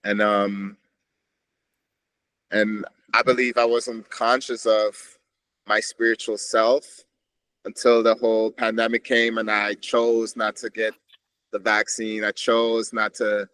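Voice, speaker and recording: A man's voice: lowish voice, little pitch movement, slow pace, pauses often, a bit bright, clear, resonant tone. A man from Switzerland, a podcast guest reflecting in a meandering, casual way with frequent restarts. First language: Swiss German. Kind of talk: casual conversation.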